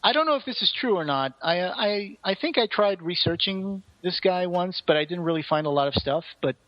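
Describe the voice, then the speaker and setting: A man chats casually on a podcast in a fairly high voice. He tells stories earnestly, rambling and halting as he goes, and the recording is somewhat dull and lo-fi. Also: expressive. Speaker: podcast co-host. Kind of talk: casual podcast discussion, retelling a play.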